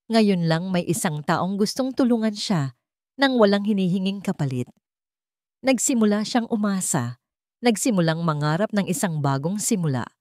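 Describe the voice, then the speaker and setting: A woman's voice: mid-range voice, darkish tone, no room sound, clean studio sound. A woman with a Filipino accent reading a scripted love story as a dramatic storyteller.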